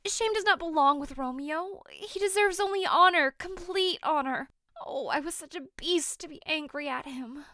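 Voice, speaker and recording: A woman's voice: high voice, moderate pace, sharp tone, dry recording. A woman playing a passionate, desperate young newlywed noblewoman in an audio drama, declaiming theatrically. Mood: indignant, remorseful. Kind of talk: audio drama acting of modernized Shakespeare.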